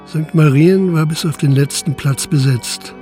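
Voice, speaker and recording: An actor playing a sober, methodical police investigator in a radio drama, speaking formally and matter-of-factly. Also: male voice, a little high, warm tone, soft background music, natural voice. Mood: sober recounting. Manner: formal, measured, matter-of-fact. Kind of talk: radio drama interrogation and narration.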